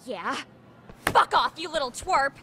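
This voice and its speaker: A woman's voice: sits high, expressive, unhurried, background noise. A woman voicing an abrasive, scornful teenage schoolgirl in a video game dub. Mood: furious. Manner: scornful, brash. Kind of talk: English game dub dialogue.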